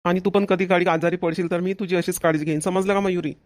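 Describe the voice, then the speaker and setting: Man acting an insistent, fast-talking young husband. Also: sits high, steady tone, natural voice. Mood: firm, defensive. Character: young husband firmly defending his sick sister. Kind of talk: scripted family comedy cartoon voice acting.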